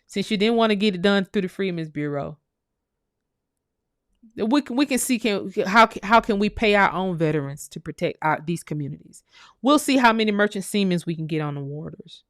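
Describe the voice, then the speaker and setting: A woman's voice: medium pitch, no room sound, clean studio sound. A woman hosting her own commentary channel, speaking in an impassioned, preachy monologue that repeats phrases and swears freely.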